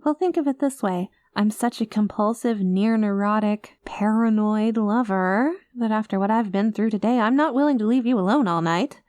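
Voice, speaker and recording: A woman's voice: medium pitch, normal speed, hardly any pauses, a little mellow, no room sound, natural voice. A woman acting an anxious, clingy, doting girlfriend with playful menace, in an intimate, emotive audio roleplay. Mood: playfully possessive. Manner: emotive, flustered, affectionate, teasing.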